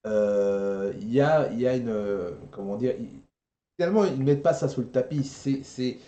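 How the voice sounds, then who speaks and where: A man's voice: medium pitch, lively intonation, normal speed, dark tone. Man hosting, in an echoey room. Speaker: show host. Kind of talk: casual live chat.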